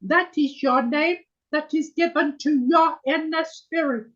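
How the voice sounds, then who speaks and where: High soft spirit sister talking real slow and solemn, as a medium channels her, muffled video call. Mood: solemn, tender. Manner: slow, solemn, archaic phrasing, keeps saying my dear. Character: gentle female spirit sister speaking through a medium. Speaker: trance medium.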